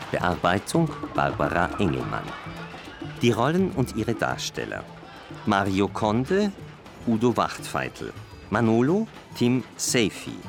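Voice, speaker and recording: Slow, low-voiced male radio announcer. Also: gravelly. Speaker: announcer reading radio drama credits. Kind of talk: scripted announcement. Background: music.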